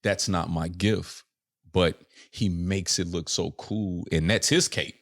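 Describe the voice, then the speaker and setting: A man's voice: low-pitched, fairly even intonation, takes it slowly, dry recording, studio quality. A young adult man from Chicago, a rapper talking as a podcast guest in an earnest, reflective way, often checking in with "right?". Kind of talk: casual reflective interview chat.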